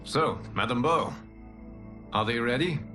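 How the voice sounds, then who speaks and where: A man playing a calm, solemn god and mentor in a video game, speaking in a measured, formal, authoritative way. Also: mid-range voice, music in the background, natural voice. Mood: solemn, composed. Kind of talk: cinematic video game acting.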